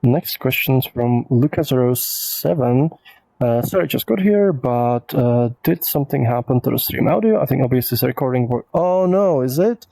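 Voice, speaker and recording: A man whose first language is Czech talks through viewer questions in a loose, rambling way, full of "like" and "um". His voice has a gravelly edge and a mellow, dark tone. The sound is close and dry. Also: medium pitch, expressive, moderate pace, no room sound. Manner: rambling, filler-heavy, reads questions aloud. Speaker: host answering viewer questions. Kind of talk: casual livestream Q&A.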